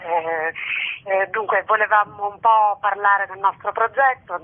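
A woman festival curator explaining her programme as a guest in a radio interview. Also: medium pitch. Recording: tinny, narrow telephone line.

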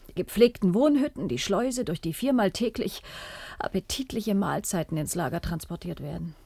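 A woman's voice: medium pitch, expressive, moderate pace, gravelly, warm tone, slightly rough, no echo, studio quality. A woman playing a sceptical, bitter and uneasy adult daughter in a radio play, with a brooding, drily sardonic manner. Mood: bitterly sardonic.

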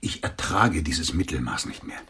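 A man's voice: rumbling low, big pitch swings, moderate pace, vocal fry, pushed and bright, slightly rough, full character voice. An actor playing a bitter, cutting homeless man in a radio play, speaking in a blunt, theatrical and insulting way. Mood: cold, pompous.

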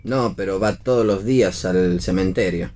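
A man's voice: sits low, moderate pace, a little mellow, natural voice. An Argentine man playing a wry, sardonic young husband in a radio play reading. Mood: halting, deadpan. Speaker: actor in a read-theatre radio play.

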